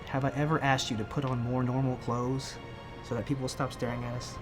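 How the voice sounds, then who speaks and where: A man's voice: mid-range voice, little pitch movement, a little fast, over music, lightly acted. A man playing an anxious, sensible, conventional fiancé in a comedic short film, earnest and reasoning as he protests. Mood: earnest, hurt.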